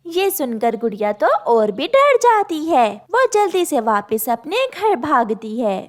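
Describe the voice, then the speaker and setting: Very high-pitched woman narrating a children's story. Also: big pitch swings, slow.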